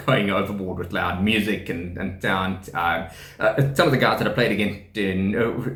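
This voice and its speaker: A man's voice: lowish voice, fairly bright tone. Easygoing South African man, echoey room. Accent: South African English. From South Africa, KwaZulu-Natal. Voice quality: speech shaped by profound hearing loss. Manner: rambling, many ums and restarts, laughs often. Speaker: deaf teacher and rugby player presenting a channel. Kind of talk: casual explanatory talk to camera.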